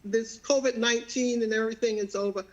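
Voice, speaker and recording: A woman in her seventies with a Southern American accent, sharing a personal testimony in a halting way, often repeating words and laughing easily. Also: fairly high pitch, fairly even intonation, darkish tone, highs cut off. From Southern United States. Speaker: guest.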